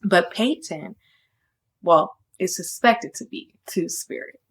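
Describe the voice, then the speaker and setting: A woman's voice: lowish voice, slow pace, husky. A woman reading a children's story aloud, with friendly, casual asides that explain it. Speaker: storybook reader.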